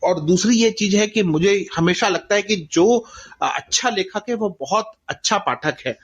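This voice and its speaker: A middle-aged man with an Indian accent speaks in a high-pitched voice. He gives reflective interview answers as an author, earnest and rhetorical, repeating phrases for emphasis. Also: moderate pace, breathy edge, dull audio. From Bastar, India. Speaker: guest author.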